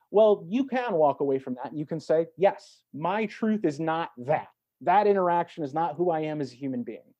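A man speaking as an interview guest, an actor talking in a thoughtful, reflective way with measured phrasing and emphatic swells. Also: a little high, dark tone, highs cut off. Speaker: podcast guest, stage and voice actor.

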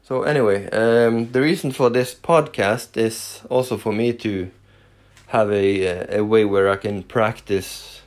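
Slow, deep-voiced Norwegian man, hesitant. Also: fairly even intonation. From Norway. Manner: hesitant, many fillers and restarts. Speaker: podcast host. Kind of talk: casual solo podcast.

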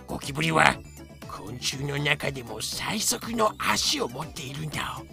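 A man's voice: a little high, very animated, unhurried, creaky, over music, heavily acted. A man voicing a cheerful, boastful cockroach who explains things with cutesy verbal tics in a comedic fan voice drama. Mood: smug, explanatory. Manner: cutesy verbal-tic sentence endings, explanatory. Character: boastful talking cockroach, male, cheerful know-it-all. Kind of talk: fan-made comedic voice drama, educational banter.

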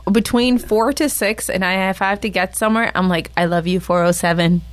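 A woman's voice: mid-range voice, steady tone, a little fast, dry recording. A woman co-hosting a talk radio show, trading playful quips and laughing with the host. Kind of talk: casual radio banter.

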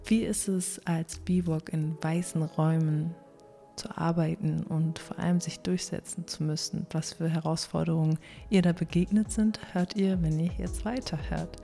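A woman's voice: low voice, steady tone, moderate pace, creaky, warm tone, big-room sound, faint music behind. A woman hosting a podcast, introducing the episode and chatting casually with her guest, nudging her on with short affirmations. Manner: friendly, encouraging, many affirming murmurs. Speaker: podcast host.

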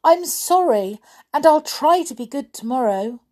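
A woman's voice: high-pitched, expressive, a little slow, mellow, pitched above the performer's own voice. A woman voicing a mischievous young male fairy who leads the others into trouble, in a raised, eager voice. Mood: pleading. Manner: eager and impish.

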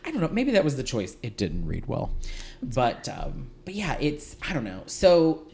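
Man, podcast host, animated gossip, occasional lisp, medium pitch, faint background noise. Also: normal speed, creaky. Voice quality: light lisp at times. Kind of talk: casual chat.

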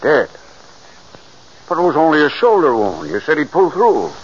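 An actor playing a plain, obliging helper to a doctor in a 1940s radio drama, speaking simply and folksily. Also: male voice, a little high, huge pitch swings, normal speed, breathy edge, full character voice. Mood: shocked. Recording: muffled old radio recording.

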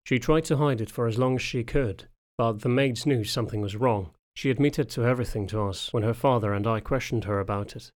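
A man's voice: medium pitch, brisk, hardly any pauses, close to an everyday voice. A man voicing a big, lean, older wolfhound knight in an audiobook, formal and restrained, with strained emotion underneath. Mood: rueful.

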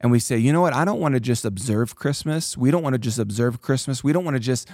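A man hosting a casual church podcast, talking with animated, preachy emphasis and reading scripture aloud. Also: mid-range voice, fast, no echo, clean studio sound. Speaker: pastor and podcast host.

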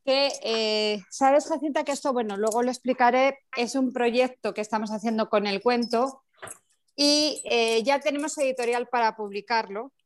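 A woman speaks direct and pleased over a video call link. Her voice sits a little high as she shares news with the group. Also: normal speed. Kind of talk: casual announcement.

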